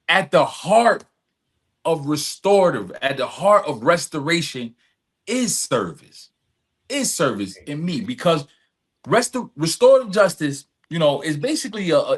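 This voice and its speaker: Male interview guest, voice a little high, speaks in earnest, emphatic, repetitive phrases. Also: sing-song, measured pace, a bit bright. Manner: earnest, emphatic, repeats phrases, often checks with "right?". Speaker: podcast guest, community service advocate. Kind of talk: reflective personal storytelling in conversation.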